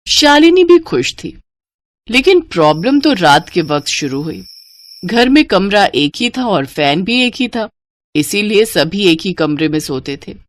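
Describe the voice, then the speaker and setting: A woman narrating a scripted children's moral story as storyteller. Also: on the low side.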